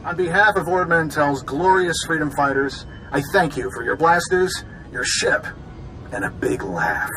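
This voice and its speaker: Casting: gloating sci-fi game villain, lightly high voice, sarcastic, noisy rumbling backdrop. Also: male voice, animated, unhurried, sharp tone. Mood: smug, mocking. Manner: sarcastic, taunting. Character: gloating male separatist traitor, smug and mocking.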